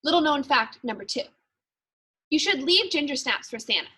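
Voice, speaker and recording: Woman reading as a high, chipper little Christmas elf. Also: expressive, moderate pace, pushed and bright. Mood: worried, hurried. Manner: chipper, punctual, confiding asides. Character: eager, fretful little male Christmas elf narrating his memoir. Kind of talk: children's storybook read-aloud.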